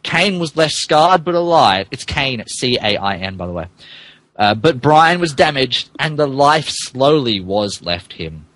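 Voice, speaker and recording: Brief: male comedic fan fiction narrator, animated delivery, fumbled words and asides, dry sound. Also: medium pitch, unhurried, dry recording. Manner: stumbles over words, mock-dramatic asides. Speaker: podcast host reading as narrator.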